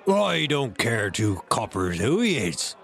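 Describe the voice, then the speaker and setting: A man's voice: medium pitch, very animated, slow pace, creaky, a bit bright, full character voice. A man voicing a hearty, emphatic one-legged seafaring innkeeper with old-time sailor's talk in an audiobook. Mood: indignant. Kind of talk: audiobook character acting.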